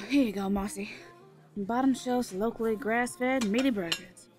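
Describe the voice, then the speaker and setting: Brisk, motherly diner boss played by a woman. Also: on the high side, a little mellow, faint music behind, close to an everyday voice. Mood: folksy, brisk. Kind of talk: audio drama acting.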